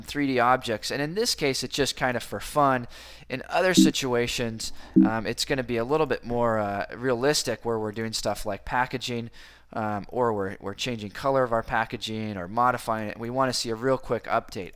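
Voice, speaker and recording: A man teaching a software tutorial who sounds enthusiastic as he talks through each click, and his voice is slightly hoarse from a cold. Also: mid-range voice. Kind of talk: screen-recorded software tutorial.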